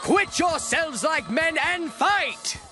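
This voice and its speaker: A defiant ancient Philistine in a biblical drama. He declaims in a very high, urging voice over background music. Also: expressive, slow pace, full character voice. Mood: rallying. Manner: declamatory, exhorting. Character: defiant Philistine man rallying his people. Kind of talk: dramatized scripture acting.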